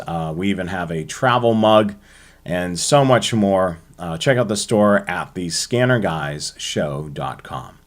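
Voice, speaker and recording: An American man hosting a hobby show, presenting to viewers in a halting way with frequent ums and uhs. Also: fairly low pitch, a bit bright.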